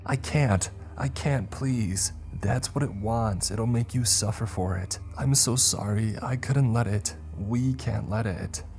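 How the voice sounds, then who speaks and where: Man acting terrified sobbing man, raised pitch. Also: takes it slowly, few pauses, a little mellow, faint music behind. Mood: desperate, sobbing. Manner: pleading, choked through sobs. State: crying. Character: terrified, sobbing man tormented by an entity in his head.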